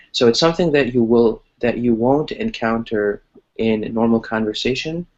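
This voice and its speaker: A man's voice: lowish voice, darkish tone. American man teaching, slightly muffled sound. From United States. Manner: encouraging, often checks with "right?". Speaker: English teacher. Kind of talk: online grammar lesson, conversational explaining. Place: online video class.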